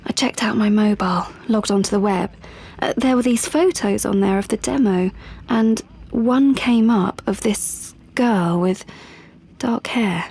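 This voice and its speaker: An actress playing an anxious, defensive young British woman in an audio drama, speaking in a breathy, hesitant way. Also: medium pitch, a little slow, darkish tone. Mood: anxious, confiding. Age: young adult. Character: anxious, defensive young pregnant woman, confiding yet pushy. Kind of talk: audio drama monologue and dialogue.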